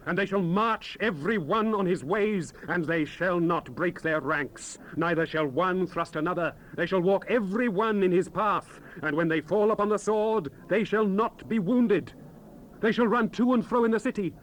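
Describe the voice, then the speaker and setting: An actor playing an anguished, urgent Old Testament prophet in a dramatized scripture recording, declaiming solemnly and theatrically in archaic English. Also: male voice, sits high, moderate pace, lightly acted, poor audio. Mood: foreboding. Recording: hissy unprocessed 1970s cassette transfer.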